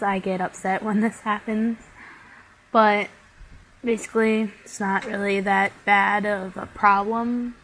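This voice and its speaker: A woman's voice: mid-range voice, low-quality recording. A young woman, stuffy from a cold, chattily talking through a bead craft tutorial step by step. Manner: chatty, many "like" fillers.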